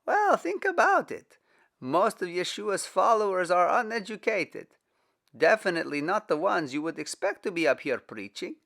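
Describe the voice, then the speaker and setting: A man voicing a young male believer who talks in an excited, emphatic way, in a storybook reading. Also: high voice, normal speed, creaky, voice pushed up, exaggerated voice.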